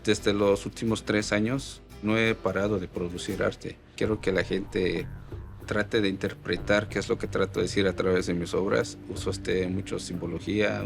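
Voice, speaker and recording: Low raspy voiced guy from oaxaca, mixe, thoughtful, lots of "este". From Oaxaca, Mexico. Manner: reflective, with frequent "este" fillers. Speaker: artist. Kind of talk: interview answers.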